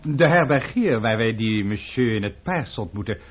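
A sly, eager manservant, voiced by a man in a radio play, coaxing and theatrical. His lively voice is raspy. It comes through thin and lo-fi. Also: on the low side, normal speed. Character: sly eager male manservant with culinary pride. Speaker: servant Clochedorn. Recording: lo-fi old radio recording.

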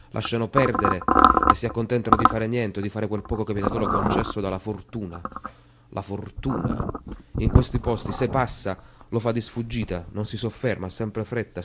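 Monologue role: actor as reflective, wry man of the old town, lowish voice, measured theatrical storytelling. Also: background noise, lightly acted.

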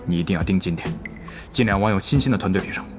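A man in an audio drama playing a calculating, distrustful guild leader who gives orders in a measured way. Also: sits low, natural voice. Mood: cool, scheming. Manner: measured, scheming. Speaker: voice actor in a radio drama. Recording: music behind the voice.

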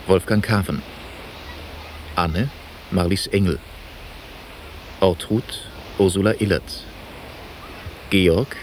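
A man's voice: fairly low pitch, darkish tone. A man working as a radio announcer, reading formal scripted credits. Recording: faintly noisy cassette copy of radio broadcast.

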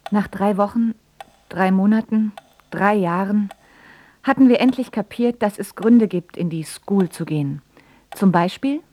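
An actress playing a wry, guarded grown daughter in a German radio drama, telling of her father in a clipped, deadpan way. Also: mid-range voice, measured pace, close to an everyday voice. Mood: wry narrating.

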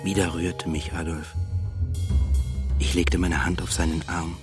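Radio play first-person narrator: deep, level, pensive, music underneath. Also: male voice, flat delivery, unhurried. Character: pensive, observant man recounting his thoughts. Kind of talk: literary radio drama narration.

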